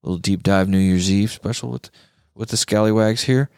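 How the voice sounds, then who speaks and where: Male talk show host, low-pitched, dry sound, informal and slangy guitar chat with emphasis. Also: fairly quick, a little mellow, clear, resonant tone, dry recording.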